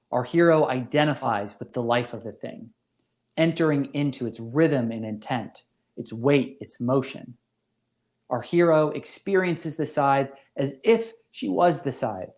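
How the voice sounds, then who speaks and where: A man delivering a scripted academic lecture as a professor, in a measured, deliberate and formal manner. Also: medium pitch, darkish tone.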